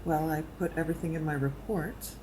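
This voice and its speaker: An actress playing a clinical, dry-humored medical examiner briefing a detective. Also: female voice, sits low, fairly even intonation, brisk, dark tone, a little hiss or hum, lightly acted, low-quality recording. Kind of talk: audio drama acting.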